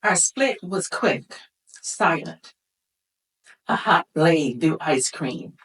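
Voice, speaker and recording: A mature woman poet reciting her poetry with deliberate, dramatic phrasing, recorded a little away from the microphone. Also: low voice, animated, a bit bright, recorded from a distance, rough recording.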